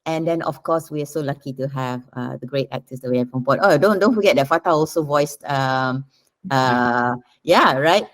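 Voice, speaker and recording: A woman's voice: extremely low pitch, normal speed. A woman answering as a writer in a casual interview, conversational and somewhat rambling.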